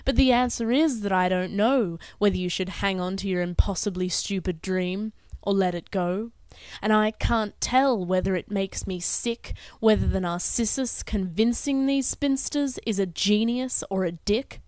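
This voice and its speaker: A young woman performs her poetry in a smooth, crisp voice at a medium pitch. The recording is dry and close, with no room to it. She phrases each line deliberately, in an earnest, dramatic and measured delivery. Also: a little mellow, no echo. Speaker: poet. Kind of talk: spoken-word poetry recital.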